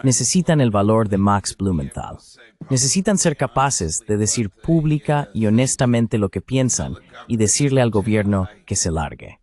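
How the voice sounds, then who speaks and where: Animated male moderator, slightly low voice. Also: moderate pace. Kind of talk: moderating a panel discussion.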